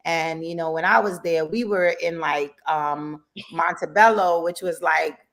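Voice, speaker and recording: A woman in her thirties from Jamaica, raised in Brooklyn, talking as a guest entrepreneur in a relaxed, chatty radio interview. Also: low-pitched, a little slow. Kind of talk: casual interview chat. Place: radio studio.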